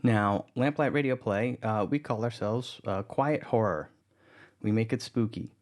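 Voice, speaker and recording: A man's voice: lowish voice, measured pace, darkish tone. A man giving an informal, conversational tutorial voice-over, explaining things as a producer. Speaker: audio drama producer, tutorial presenter.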